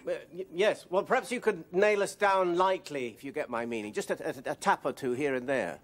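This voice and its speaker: A man's voice: a little high, brisk, lightly acted. An older man with an English accent playing a wary, dry, grudgingly polite father in a naturalistic television drama, speaking with hesitant understatement. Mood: politely cautious.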